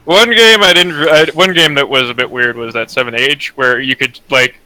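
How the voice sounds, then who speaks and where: Co-host, man from Canada, sharp and very bright tone, rambling delivery. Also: medium pitch, normal speed, rough recording. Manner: rambling, full of fillers. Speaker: podcast co-host. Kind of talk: casual gaming chat.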